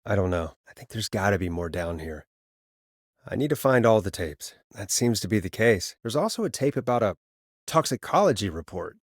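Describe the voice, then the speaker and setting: Casting: uneasy radio host playing detective in a video game, conversational, wry, close dry recording. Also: male voice, fairly low pitch, sing-song, normal speed, dry recording. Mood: thoughtful, wry. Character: male late-night radio DJ turned uneasy amateur sleuth. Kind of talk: naturalistic video game voice acting.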